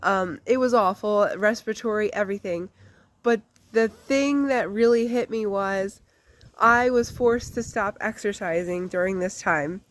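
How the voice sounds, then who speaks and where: A woman's voice: mid-range voice, measured pace. A woman giving a candid, casual life update to her channel, chatty with lots of fillers, her voice congested from a recent flu. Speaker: vlogger.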